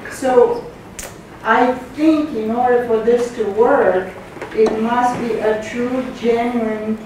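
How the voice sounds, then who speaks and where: A woman's voice comes from off in the audience of a very echoey hall. She is far from the microphone with clear noise around her. She speaks earnestly, feeling out her phrasing as she comments. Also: a little high, steady tone, slow pace. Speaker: audience member asking a question. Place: lecture hall audience, indoors. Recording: very echoey, distant, noisy room.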